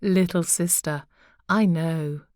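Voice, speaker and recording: Storybook queen, calm, wise and conspiratorial, soft measured speech, dry close sound, read by a woman. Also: fairly low pitch, dry recording. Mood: confiding.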